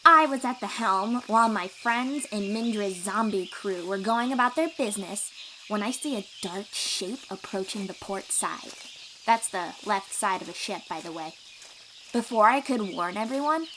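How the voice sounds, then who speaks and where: A woman playing a cheerful, boastful young sea-dwelling warrior in an audio roleplay, eager and expressive as she talks to the listener. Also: on the high side, slight background noise, poor audio. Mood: dramatic, proud. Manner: eager, bragging, reassuring, expressive storyteller.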